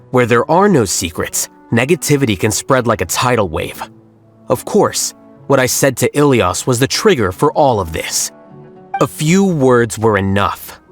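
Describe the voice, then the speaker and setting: A man voicing a cool, aloof young scholar who speaks in a calm, detached and analytical way. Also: mid-range voice, lightly acted. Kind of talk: game voice acting.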